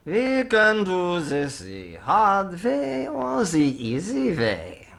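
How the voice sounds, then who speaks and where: A woman's voice: mid-range voice, huge pitch swings, takes it slowly, creaky, a bit bright, soft background music, full character voice. A woman playing a stern, exasperated German military officer in an old-time radio comedy. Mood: commanding. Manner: bossy, commanding.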